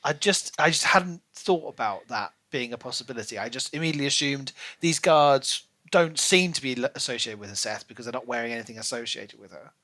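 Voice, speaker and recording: A man's voice: medium pitch, animated, brisk, hardly any pauses, pushed and bright. A man co-hosting a podcast in casual discussion, tentative and thinking aloud as he talks. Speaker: book podcast co-host.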